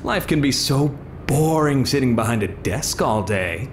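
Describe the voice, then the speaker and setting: A man's voice: medium pitch, expressive, a little slow, a little hiss or hum, close to an everyday voice. A man playing a smug, taunting pyromaniac villain in an audio drama, mocking and menacingly casual.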